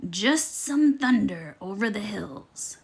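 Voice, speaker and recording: A woman's voice: lively intonation, slow pace, gravelly, husky, heavily acted. A woman voicing a sly, hungry storybook wolf with a growly, drawling delivery. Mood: sneaky. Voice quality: deep, growly.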